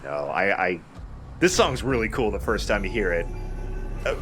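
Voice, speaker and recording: Male let's play host. Fairly low voice, conversational and explanatory, slight noise behind him. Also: sing-song, moderate pace. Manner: chatty, explanatory, casual swearing. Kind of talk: casual gameplay commentary.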